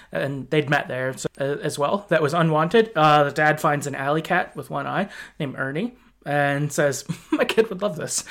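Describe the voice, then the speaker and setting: Guy hosting a podcast, voice a bit high, rambly casual storytelling. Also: vocal fry, fairly bright tone. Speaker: podcast host. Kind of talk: casual chat recapping a game story.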